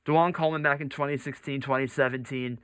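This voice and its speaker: A man's voice: mid-range voice, fairly even intonation, quick pace, fairly bright tone, smooth, dry recording, studio quality. A man hosting a sports talk show, debating basketball in an insistent, argumentative way.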